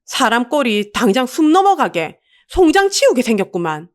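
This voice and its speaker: A woman voicing a gruff, burly male street vendor who scolds bluntly with a Busan accent. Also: fairly high pitch, big pitch swings, normal speed, pitched above the performer's own voice. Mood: gruff scolding.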